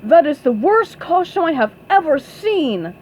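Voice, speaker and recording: A woman voicing an angry, menacing male cartoon ghost pirate in a booming, emphatic voice. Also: very animated, a little slow, darkish tone. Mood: scornful. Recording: dull lo-fi, faint background noise.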